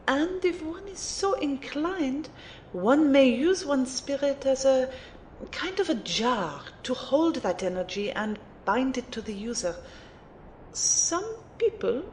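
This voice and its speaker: A woman's voice: fairly high pitch, darkish tone, slight background noise. A woman playing a haughty but fond mage mentor in an audio drama, with a condescending, theatrical manner. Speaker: voice actor in an amateur audio drama. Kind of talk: acted dialogue in an audio drama.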